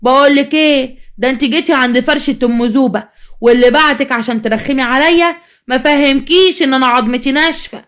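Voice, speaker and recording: Woman doing a brash, fast-talking Egyptian market mother. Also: on the high side, lightly acted. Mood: fierce, sarcastic. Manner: confrontational, sarcastic, street-tough. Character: bold brash loud mother of the bride, market stallholder. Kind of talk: dramatized storytelling.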